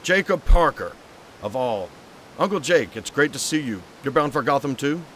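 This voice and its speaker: A man voicing a brooding, haunted young gentleman heir in a motion comic, formal, solemn and deliberate. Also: mid-range voice, lively intonation, a little hiss or hum, voice pushed up. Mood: warm, pleased. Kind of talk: dramatic motion-comic acting and diary narration.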